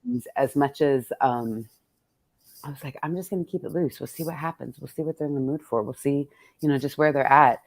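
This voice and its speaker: A woman's voice: deep voice, a little fast, darkish tone. A woman hosting a poetry event in casual, reflective conversation, thinking aloud in a playful, colloquial way. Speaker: poet hosting an event with her readers.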